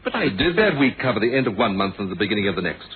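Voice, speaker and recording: A man acting a courteous, probing police inspector in a radio mystery drama, formal and measured, firm but humane. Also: lowish voice, sing-song, a loud crowd. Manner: formal, measured, polite questioning. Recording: muffled, noisy old radio drama recording.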